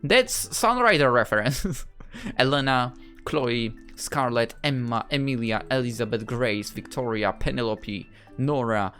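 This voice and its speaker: A man's voice: mid-range voice, bright, forceful tone. A man giving casual, playful, rambling playthrough commentary on a game. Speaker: gaming video creator playing his visual novel. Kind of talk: casual playthrough commentary, reading dialogue aloud.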